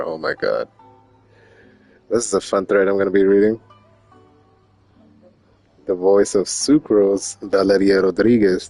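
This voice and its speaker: A man's voice: low voice, a little mellow. A man chatting casually as a game streamer, informal and slangy, with some swearing. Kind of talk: casual livestream chat. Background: faint game music.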